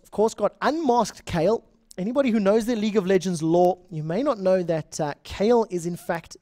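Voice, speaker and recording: Chatty South African esports caster. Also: male voice, high-pitched, mellow, no room sound, clean studio sound. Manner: chatty, rambling, self-correcting, talks to chat viewers. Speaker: esports shoutcaster. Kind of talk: live game commentary.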